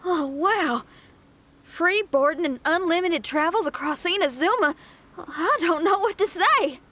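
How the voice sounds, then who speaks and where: A woman's voice: very high-pitched, animated, measured pace, pushed and bright, a little hiss or hum, exaggerated voice. A woman voicing a bold, spirited young female street musician in a game, casual and direct in how she talks. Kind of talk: video game cutscene dialogue.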